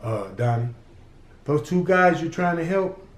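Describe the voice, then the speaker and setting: A man's voice: a little high, animated, unhurried, pitched above the performer's own voice. Guy doing a laid-back loyal henchman, soothing, dry jokes, echoey room. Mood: calm. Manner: easygoing, placating, dry humor. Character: calm loyal middle-aged male henchman. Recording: roomy echo.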